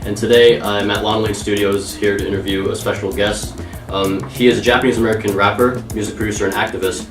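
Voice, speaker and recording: A teenage boy with a very low voice asks halting questions, tripping over restarts and fillers. He sounds set back from the mic in a roomy studio, with music running underneath. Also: distant mic. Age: teens. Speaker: student interviewer. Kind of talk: school project interview. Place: recording studio.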